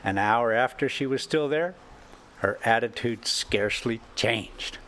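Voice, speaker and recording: An older man reading a classic short story aloud as an amateur storyteller, in halting, broken phrases. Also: mid-range voice, pushed and bright. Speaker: storyteller and channel host.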